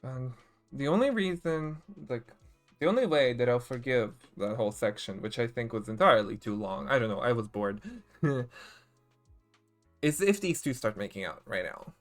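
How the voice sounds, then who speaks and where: A man's voice: medium pitch, animated, normal speed, soft background music. A man streaming a video game with casual, joking, wry commentary.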